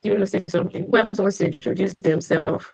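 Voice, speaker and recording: A woman's voice: medium pitch, fast talker, gravelly, darkish tone. Chatty woman hosting a video call class. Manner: chatty, welcoming, addresses attendees by name. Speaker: online class host. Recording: muffled dry video call audio.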